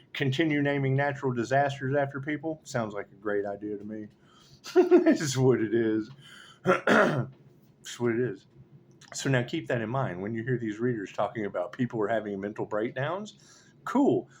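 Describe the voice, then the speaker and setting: A man's voice: medium pitch, expressive, normal speed, a little hiss or hum. A man rambling sarcastically as a tarot reader, swearing often and laughing between remarks. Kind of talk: rambling monologue.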